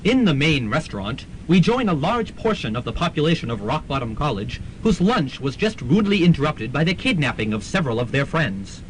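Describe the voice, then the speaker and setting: A man delivers dramatic scripted narration like a serial announcer. His voice is a little high and very animated, with faint rumble on a student radio recording. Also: a little fast, few pauses, rough recording.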